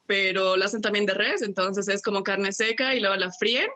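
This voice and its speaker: A bright-voiced woman with a Bolivian accent from Cochabamba. She talks casually as an interview guest, rambling a little, checking in with short tag questions and laughing easily. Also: mid-range voice, steady tone, a little fast. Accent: Bolivian Spanish, Cochabamba. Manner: rambling, tags phrases with "¿no?" and laughs often. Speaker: guest chef.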